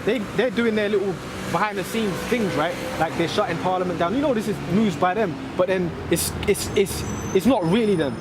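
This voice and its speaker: Brief: London man, high voice, emphatic slangy street talk, traffic noise behind. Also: a little fast, hardly any pauses. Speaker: street discussion member. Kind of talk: casual street discussion. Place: outdoors by a road.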